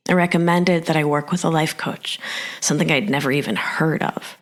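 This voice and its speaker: Low-voiced woman telling her story. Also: fairly quick. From Argentina. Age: 40s. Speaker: podcast storyteller. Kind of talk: personal story read aloud.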